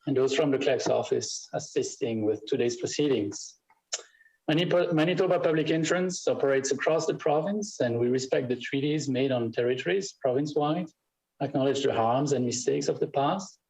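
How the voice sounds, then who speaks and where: A man's voice: medium pitch, darkish tone, low-quality recording. Man giving formal testimony. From Switzerland. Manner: measured, reading scripted remarks. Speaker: corporate chief executive testifying. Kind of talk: formal prepared statement. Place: legislative committee room.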